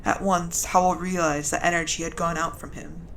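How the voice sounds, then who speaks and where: A woman playing a grieving, solemn speaker at a noble family's funeral in a fantasy audio drama, with measured, halting delivery. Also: fairly low pitch, flat delivery, slight background noise, lightly acted, rough recording. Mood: solemn, reverent. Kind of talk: audio drama eulogy with solemn scripture-like reading.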